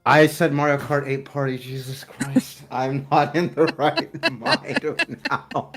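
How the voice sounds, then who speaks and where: A man's voice: mid-range voice, lively intonation, takes it slowly, fairly bright tone, faint music behind. Laughing, rambling man on a game stream. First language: English. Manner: laughing, self-deprecating, stumbles over words. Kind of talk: casual gaming stream chat.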